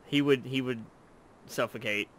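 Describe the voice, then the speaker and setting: A man's voice: mid-range voice, fairly even intonation, moderate pace, crowd noise. A man in casual chat on a video call, teasing his friends with crude humour.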